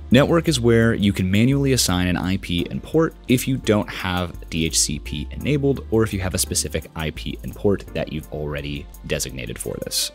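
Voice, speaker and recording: A young American man in his twenties presenting a product setup tutorial in a casual but scripted way, close to the microphone. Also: low voice.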